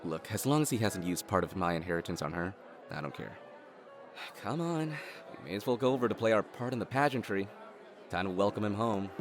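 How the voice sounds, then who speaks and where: A man acts out a wry tycoon's son in an audio drama. His voice is on the low side and animated, full of dry understatement, with soft music behind. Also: brisk, lightly acted. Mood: sarcastic. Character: wry, easygoing adult elder brother, tycoon's son. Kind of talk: naturalistic audio drama acting.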